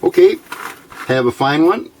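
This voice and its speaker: A man's voice: on the high side, sing-song, measured pace, slight background noise. An American man giving an informal technical tutorial as a hobbyist host, plainspoken and a little hesitant.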